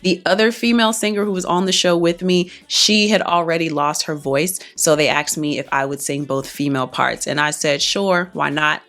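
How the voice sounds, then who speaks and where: Low-voiced woman chatting to camera. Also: a bit bright. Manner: chatty, explains plainly, reads some parts aloud. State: recovering voice, partly lost speaking voice. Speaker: singer and vlogger. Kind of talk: casual talk to camera.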